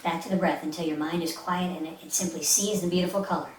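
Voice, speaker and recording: A woman's voice: fairly low pitch, fairly even intonation, fast talker, darkish tone. A woman leading a guided meditation as an instructor, speaking in a soothing, instructive way with short repeated phrases. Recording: roomy, distant mic with faint hiss.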